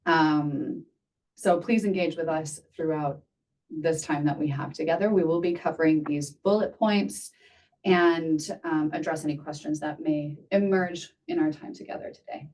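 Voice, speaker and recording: A woman in her thirties from Spain, presenting a webinar in an earnest, measured way with frequent fillers. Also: low-pitched, steady tone, a little mellow, far from the mic, a little hiss or hum.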